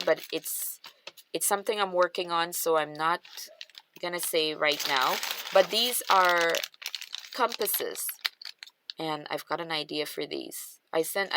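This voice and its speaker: A woman's voice: mid-range voice, noisy background. A woman with a Guyanese accent, chatting casually as she shows off her craft supplies and thinks aloud with trailing restarts. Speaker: craft channel host.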